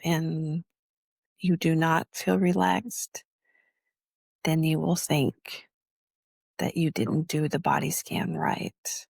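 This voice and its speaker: A woman teaching guided meditation in a measured, instructional way, leaving long pauses between phrases. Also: low voice, vocal fry, husky, dry recording.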